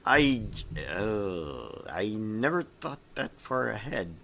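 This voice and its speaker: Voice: mid-range voice, very animated, takes it slowly, gravelly, a bit bright, exaggerated voice. A gamer voicing an eager, awkward young student helper in a visual novel, often hesitant. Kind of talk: acted visual novel dialogue.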